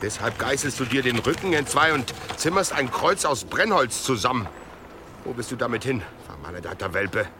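An actor playing a gruff, sarcastic monk in a German radio drama, blunt, agitated and incredulous. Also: male voice, medium pitch, animated, normal speed, noisy background, close to an everyday voice. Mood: scornful, alarmed.

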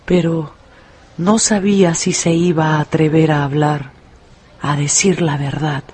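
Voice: medium pitch, fairly even intonation, a little slow, darkish tone, slightly rough. A narrator reading a scripted, dramatized story for a radio drama.

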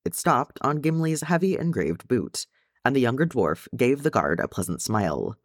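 A man's voice: mid-range voice, big pitch swings, moderate pace, no echo, studio quality. A man reading a story aloud as narrator, in scripted audiobook style. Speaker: fan fiction narrator.

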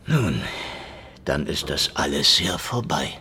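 An actor playing a cynical, sardonic and defiant Soviet secret police detective in a hardboiled radio crime drama, with wry, dramatic delivery. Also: male voice, a bass voice, expressive, slow, sharp tone, a little hiss or hum, exaggerated voice. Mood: dry, resigned. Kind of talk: hardboiled radio crime drama, first-person narration and dialogue. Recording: music behind the voice.

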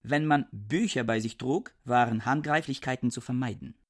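A man's voice: medium pitch, moderate pace, dry recording, close to an everyday voice. An actor playing an aloof, pedantic male scholar who talks in a precise, dry, self-righteous way in a radio play. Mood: reflective.

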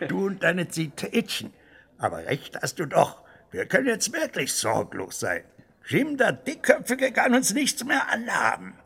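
An actor playing a shrewd, bossy older crook in a comic radio play, declaiming in a patronising way with a raspy voice. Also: male voice, high-pitched, huge pitch swings, unhurried, pushed and bright, full character voice. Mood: scolding. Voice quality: gravelly, raspy.

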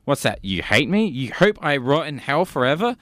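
A flustered, stammering man acting out a comedy sketch for a podcast. His pitch swings widely, and the recording is close and dry. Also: very animated, normal speed, fairly bright tone, no echo.

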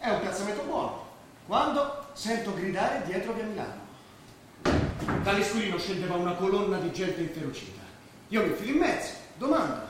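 A man acting on stage as an excitable working-class Roman who tells street stories vividly and mimics the people he quotes. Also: sits high. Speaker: stage actor. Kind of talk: theatrical stage drama dialogue. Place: theatre stage, recorded from afar. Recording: echoey stage, distant mic, faint noise.

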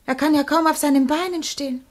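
A woman's voice: high-pitched, steady tone, quick pace, natural voice. An actress playing a vain, lovesick old woman in a theatrical, lamenting manner in a classical comedy radio play. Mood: aggrieved. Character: vain, lovesick old woman, jilted by her young lover.

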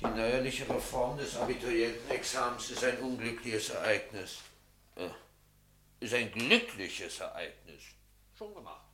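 A man acting in a German radio play as an eager, pliable newspaper writer with a husky voice, glib and quick to oblige. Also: mid-range voice, lively intonation, background noise, lightly acted. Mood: grumbling. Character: eager, pliable male newspaper hack serving his boss.

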